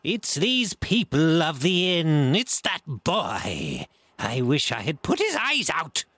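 Raging blind beggar pirate boss, storybook villain, high and swooping, imperious shouted orders. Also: male voice, wildly swooping pitch, slow, slightly rough, dry recording, full character voice. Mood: furious, eager. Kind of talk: audiobook character acting.

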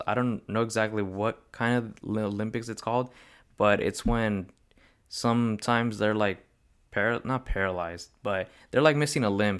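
A young man in his twenties hosts his own motivational podcast, speaking alone to the listener. His voice sits low and sounds smooth and clear. He talks earnestly and rambles, often breaking off to correct himself. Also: a bit bright. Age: 20s. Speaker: podcast host. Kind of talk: solo motivational podcast talk.

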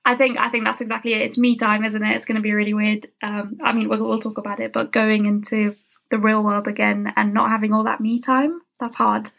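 A young woman at university co-hosting a casual podcast, candid and teasing, with quick asides. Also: mid-range voice, little pitch movement, vocal fry, a bit bright. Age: young adult. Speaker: podcast co-host. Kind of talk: casual chat.

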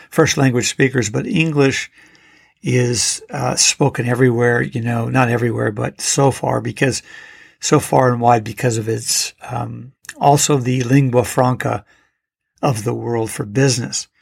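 A man's voice: medium pitch, vocal fry, slightly rough. A middle-aged man hosting a solo podcast, talking in a loose, rambling way with many fillers and restarts. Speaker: podcast host. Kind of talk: casual solo podcast talk.

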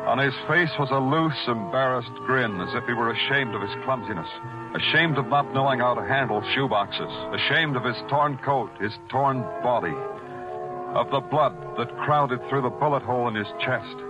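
Actor as a deadpan detective, muffled old radio. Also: male voice, mid-range voice, normal speed, lightly acted. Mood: brooding. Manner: deadpan, brooding, poetic. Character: world-weary, sardonic hardboiled police detective. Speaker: lead actor and narrator. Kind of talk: 1940s hardboiled radio narration and acting. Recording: muffled vintage 1940s radio recording, music behind.

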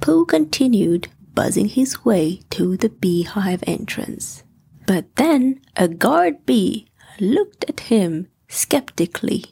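A woman narrating a scripted bedtime story for children with a soft, gentle delivery. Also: mid-range voice, sing-song, slow pace, gravelly, husky, dry recording.

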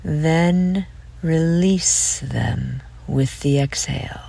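A woman guides a meditation at an extremely unhurried pace, leaving long spaces between short phrases. Her voice sits low and turns creaky in places. She sounds gentle and soothing, often trailing off into breathy near-whispers. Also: slight background noise. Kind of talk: slow guided relaxation meditation.

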